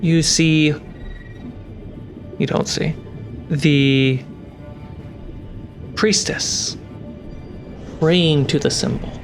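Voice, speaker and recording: A game master narrating a tabletop fantasy game with deliberate dramatic pauses. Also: mid-range voice, fairly even intonation. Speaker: game master and show host. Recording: background music and rain sounds under voice.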